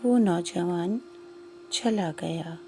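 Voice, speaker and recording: A woman narrating a scripted moral story in short, measured phrases with pauses. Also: fairly low pitch, dark tone, a little hiss or hum.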